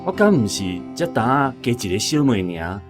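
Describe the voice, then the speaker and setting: Man acting an animated, bemused reminiscing man. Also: medium pitch, normal speed, over music, natural voice. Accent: Taiwanese. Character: bemused man recalling his puzzled boyhood. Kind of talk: Taiwanese radio drama monologue.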